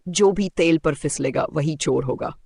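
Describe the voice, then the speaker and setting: A man voicing a cunning, quietly confident old village woman in a storybook tale, with a knowing, measured manner. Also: on the high side, gravelly, breathy edge, dry recording, studio quality, heavily acted.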